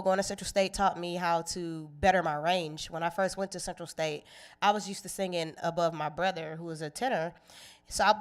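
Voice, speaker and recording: Low, smooth-voiced young woman chatting. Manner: relaxed, chatty, laughs easily, many fillers. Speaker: singer and actress guest. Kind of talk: casual interview chat.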